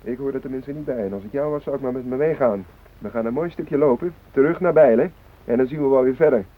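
A man's voice: mid-range voice, fast talker, lightly acted. An actor in a radio drama playing a blunt, unafraid man who scolds his armed captors. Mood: dismissive. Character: gruff, unafraid male hostage scolding armed hijackers. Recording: very dull, band-limited radio recording.

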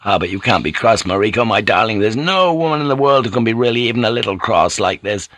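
A man voicing a blunt, affectionate foreign seafarer in an audiobook, speaking tersely and at times haltingly. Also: fairly low pitch, animated, a bit bright, no echo. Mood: amorous, teasing. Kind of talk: audiobook character voice.

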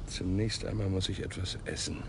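An actor playing a methodical police detective who talks in a matter-of-fact way, in a 1980s radio drama. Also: male voice, low voice, level tone, fairly quick, slight background noise. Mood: plain. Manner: matter-of-fact, muttering to himself.

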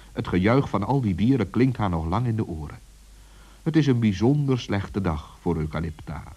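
A man's voice: lowish voice, a little mellow, husky. A man narrating a children's story expressively, as a storyteller, on a dull vintage recording.

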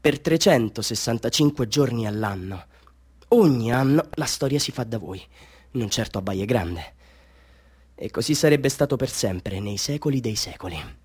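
A man's voice: mid-range voice, expressive, moderate pace, darkish tone, breathy edge. A chatty, self-important street photographer works a group of tourists in a radio play monologue. His mid-range voice moves between pompous sales patter and confiding storytelling, full of rhetorical questions. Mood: confiding storyteller. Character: boastful, chatty small-time Bolivian street photographer hustling tourists. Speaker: actor in a radio drama monologue.

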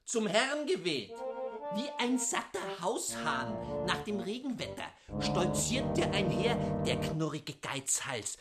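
A woman's voice: on the low side, sing-song, takes it slowly. A woman playing a mocking, scornful theatre Doctor in a scripted comic radio drama, theatrical in delivery, with music under the voice. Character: mocking theatre Doctor jeering at a stingy, vain master. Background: loud guitar music.

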